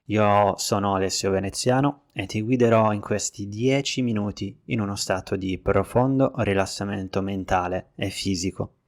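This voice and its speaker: A man with a low-pitched voice leads a guided relaxation session. He gives instructions calmly and in a measured way. Pauses separate his phrases, as a meditation instructor would leave them. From Italy.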